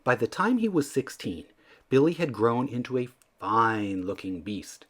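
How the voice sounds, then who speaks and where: A man reading a children's story aloud in an expressive storytelling style. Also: medium pitch, normal speed, husky. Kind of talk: expressive scripted storybook narration.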